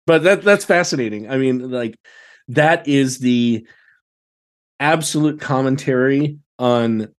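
Animated American man, casual storyteller. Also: medium pitch, slow pace, long pauses. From United States. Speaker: podcast guest, theatre director. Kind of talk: casual chat, anecdotes.

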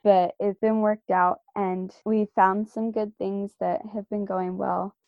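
A woman's voice: medium pitch, little pitch movement, slow, dry recording, muffled recording. A woman guest earnestly telling a personal story in a casual faith discussion.

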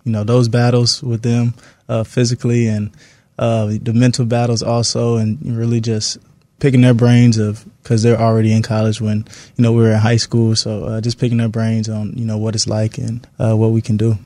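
A man in his twenties giving casual interview answers as an athlete, phrasing things haltingly. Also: lowish voice, monotone, warm tone, no echo. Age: 20s. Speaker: professional basketball player being interviewed.

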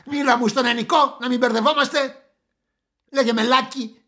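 An actor playing a friendly, smiling middle-aged male crime novelist in a radio play, encouraging and unhurried. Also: very high voice, steady tone, bright, forceful tone, full character voice. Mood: relaxed, encouraging. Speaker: established writer in a radio drama.

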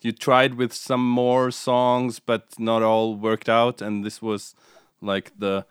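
A man conducting an informal interview, speaking in halting, hesitant phrases. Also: medium pitch, monotone, smooth, no room sound, studio quality.

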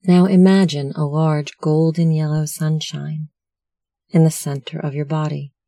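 A meditation instructor narrating a guided meditation in a measured, soothing, instructional manner. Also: medium pitch, flat delivery, mellow, no echo, studio quality.

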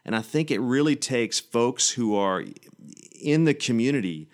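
A man's voice: mid-range voice, expressive, moderate pace. A man from Tennessee, a guest physician, giving earnest interview answers with many restarts.

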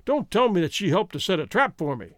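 A fierce American criminal in a storybook, acted by a male reader, defiant and lovesick. The voice is pitched high and swings widely, bitter and emphatic, in a dry close recording. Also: very animated, brisk, a bit bright, dry recording.

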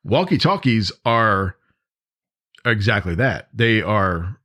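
A man's voice: lowish voice, expressive, takes it slowly, fairly bright tone. A man hosting a podcast in a conversational, instructive way, repeating points for emphasis. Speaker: podcast host. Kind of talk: conversational podcast monologue on emergency preparedness.

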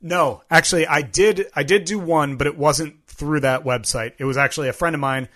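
A man's voice: a little high, normal speed, fairly bright tone. A man co-hosting a podcast in a casual, joking and self-deprecating chat style. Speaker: podcast co-host.

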